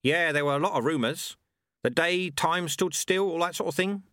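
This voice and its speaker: A man doing a gruff old sweeper monk, pitched high. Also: moderate pace, pushed and bright, no room sound, studio quality. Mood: confiding. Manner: folksy, dropped grammar, mutters.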